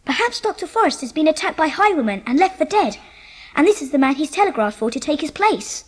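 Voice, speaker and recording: A woman's voice: high voice, big pitch swings, fast. A woman playing an eager, earnest Edwardian schoolboy in a dramatised audiobook. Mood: bold, bossy.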